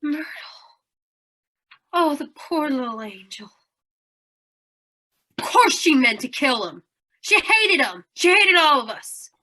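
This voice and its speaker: An actress playing a brittle, high-strung woman under suspicion in an old-time radio noir, emotional and dismissive. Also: sing-song, slow, long pauses, sharp tone, heavily acted. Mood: distraught. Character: brittle, high-strung female crime suspect.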